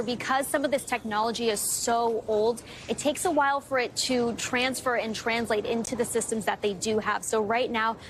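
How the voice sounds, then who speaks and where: A woman's voice: on the high side, fairly bright tone, slight background noise. An American woman news reporter delivering a live report on location. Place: on location at an airport.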